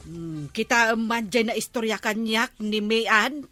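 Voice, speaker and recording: A woman's voice: on the high side, takes it slowly, bright, forceful tone, no echo, faint music behind. A woman playing a nosy, thrifty village captain's wife in an Ilocano comedy radio drama, conversational and questioning. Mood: gossiping.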